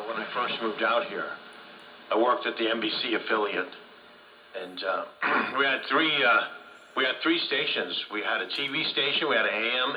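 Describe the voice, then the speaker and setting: A man's voice: medium pitch, pushed and bright. An older man chatting and reminiscing casually as a ham radio operator, heard over AM radio. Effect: AM shortwave radio. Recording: echoey AM radio signal.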